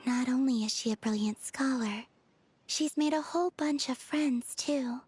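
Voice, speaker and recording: An actress voicing a gentle, dreamy young woman who speaks in a soft, breathy near-whisper. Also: high voice, moderate pace. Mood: tender, earnest. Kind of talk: game voice acting.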